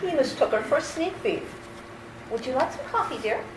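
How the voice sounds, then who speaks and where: A woman's voice: high-pitched, lively intonation, normal speed, crowd noise. A woman playing a sweet, fussy elderly aunt in an old-time radio play. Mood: sweet, fussing. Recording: echoey room, faint noise.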